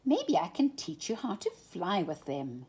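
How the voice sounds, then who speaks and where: A woman's voice: lowish voice, huge pitch swings, measured pace, darkish tone, breathy edge, exaggerated voice. A woman voicing a clever, kind little male circus mouse who talks in an eager, encouraging way.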